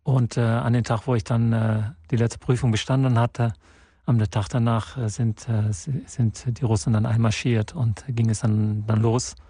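A man born in Portugal and raised in the Netherlands, guesting in a radio studio and answering haltingly with many restarts and fillers. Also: mid-range voice, level tone, warm tone, slightly rough, no echo. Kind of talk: radio interview.

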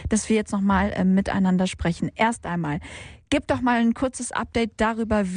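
A woman's voice: mid-range voice, no echo. A woman hosting a Sunday radio talk show from the studio, interviewing a guest in a friendly, chatty way with frequent fillers. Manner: warm conversational, many fillers.

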